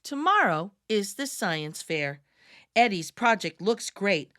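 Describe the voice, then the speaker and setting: A woman reading a picture book aloud to young children with expressive, deliberate delivery and dramatic emphasis. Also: mid-range voice, no echo, clean studio sound. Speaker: children's read-aloud storyteller.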